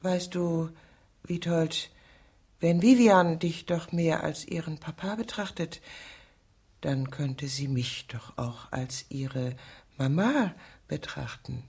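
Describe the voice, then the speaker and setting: A woman's voice: deep voice, slow, darkish tone, breathy edge, full character voice. An actress in a radio drama playing a lovesick, scheming insurance clerk in her fifties, intense and bitter, moving between pleading and insistence. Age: 50s. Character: lovesick, scheming, defensive insurance clerk woman, fifty-two. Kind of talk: radio drama acting, dialogue and confessional monologue.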